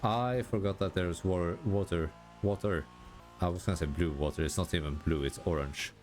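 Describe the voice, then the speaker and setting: A Swedish man, a gaming YouTuber, talking casually in halting, self-correcting sentences and laughing at himself over his English pronunciation. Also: low voice, steady tone, a little mellow, soft background music. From Sweden. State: tired. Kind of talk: casual commentary while gaming.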